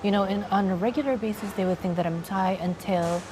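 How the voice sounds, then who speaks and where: Young filipina woman chatting soft and halting, noisy background. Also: medium pitch. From Philippines. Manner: soft-spoken, hesitant with many pauses, laughs often. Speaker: interviewee. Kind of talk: casual interview chat.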